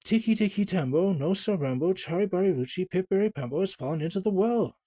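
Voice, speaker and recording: A man's voice: a little high, lively intonation, fairly quick, warm tone, slightly rough, no room sound, pitched above the performer's own voice. A man voicing a breathless small boy who pleads urgently, panting and strained, in a storybook read-aloud. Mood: urgent pleading.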